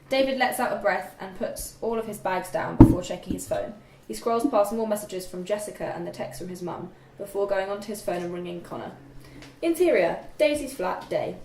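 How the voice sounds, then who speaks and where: Young woman narrating, distant echoey recording. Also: medium pitch. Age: young adult. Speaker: stage directions reader. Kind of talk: scripted narration of screenplay directions. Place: indoor room at a group read-through. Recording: echoey room, distant mic, faint noise.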